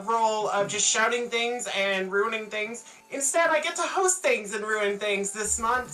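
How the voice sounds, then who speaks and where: An energetic woman hosts a live esports stream, full of joking, boastful banter. Her voice is bright and a little high, and she sounds set back from the mic with faint music underneath. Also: recorded from a distance, poor audio. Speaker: host and caster.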